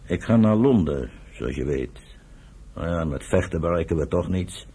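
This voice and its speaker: Casting: stern old father in radio drama, bottomless pitch, very rough husky tone, grave and deliberate. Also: lively intonation, dark tone, full character voice. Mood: grave, concerned. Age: older. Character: stern, embittered elderly father and political leader.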